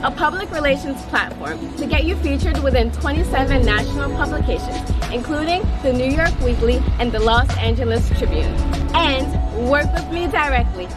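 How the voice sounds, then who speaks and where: A woman, the founder of a company, reading a scripted promotional ad in a bright, polished, energetic way. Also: high voice, moderate pace. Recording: ad read over loud background music.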